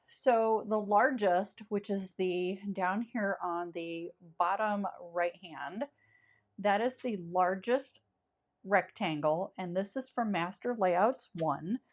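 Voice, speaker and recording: Slow-talking woman with a scratchy cold voice. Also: fairly low pitch. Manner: chatty, self-correcting, easygoing. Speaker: craft channel host. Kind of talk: step-by-step craft tutorial.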